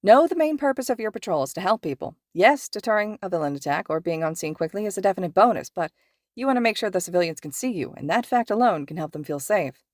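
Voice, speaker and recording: A woman voicing a loud, cheerful male hero teacher with an exuberant, projecting manner. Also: mid-range voice, lively intonation, fast, no echo, studio quality, lightly acted. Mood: upbeat.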